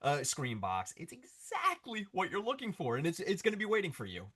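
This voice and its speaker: A man's voice: sits high, huge pitch swings, brisk, sharp tone, slightly rough. A man co-hosting an interview in casual, conversational chat. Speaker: interview co-host.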